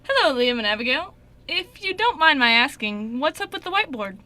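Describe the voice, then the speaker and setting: Woman as an excitable, very high-pitched young brother. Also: brisk, full character voice. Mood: curious. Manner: inquisitive, enthusiastic. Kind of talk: amateur radio play acting.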